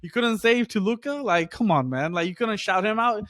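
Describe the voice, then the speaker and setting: A man's voice: high-pitched, lively intonation, moderate pace, a crowd in the background. A man co-hosting a casual sports podcast, talking emphatically and peppering his lines with "bro" and "dude". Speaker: podcast co-host.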